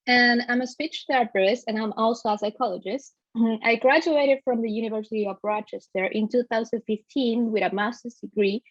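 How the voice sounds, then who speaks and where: A woman's voice: a little high, brisk, hardly any pauses, no echo. A woman from Chile with a Chilean Spanish accent, a native Spanish speaker, introducing herself hesitantly as a guest on a video call. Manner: hesitant, many fillers. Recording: dry lo-fi video call audio.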